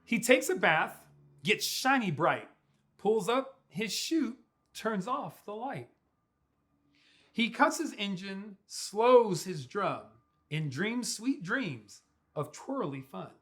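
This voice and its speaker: A young man reading a children's storybook aloud in a playful, expressive way with drawn-out words. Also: sits high. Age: young adult. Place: small furnished room indoors.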